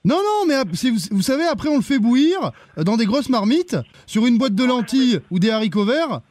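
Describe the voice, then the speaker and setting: A man playing an earnest, bumbling charity deputy director on a prank call, speaking politely and hesitantly with deadpan insistence. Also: very high-pitched, a little fast, voice pushed up. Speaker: prank caller.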